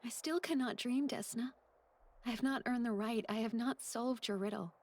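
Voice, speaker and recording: Penitent game demoness: higher female voice, solemn, sad, self-reproaching. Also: normal speed, a little mellow. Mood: wistful, solemn. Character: sorrowful, devoted demoness seeking redemption. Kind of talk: video game voice acting.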